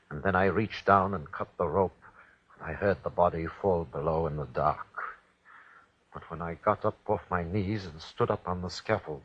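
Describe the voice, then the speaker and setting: A cruel Nazi executioner coming apart under his own dread in an old radio horror play. His voice sits low and husky, and he reports in haunted, repeating phrases. The recording is dull and lo-fi. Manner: dramatic, haunted first-person reporting with obsessive repetition. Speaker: actor. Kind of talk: 1940s radio horror acting.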